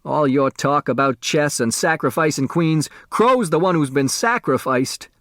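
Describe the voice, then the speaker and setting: A man voicing a bitter, excitable old delivery man who complains indignantly in an audiobook. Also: on the high side, a little slow, dry recording, clean studio sound.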